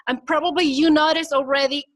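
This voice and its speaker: A woman's voice: high voice, a little fast. A woman with a Minnesotan accent giving a conference presentation, chatty and engaging, asking the audience rhetorical questions. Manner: chatty, acts out quotes in lively voices.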